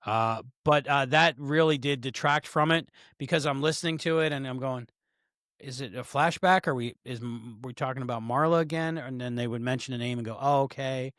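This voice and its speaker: A man co-hosting a podcast, talking at a middle pitch on a close, dry mic. He chats in an offhand way and drops joking asides as he goes. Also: fairly quick, fairly bright tone, no room sound. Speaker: podcast co-host. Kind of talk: casual review chat.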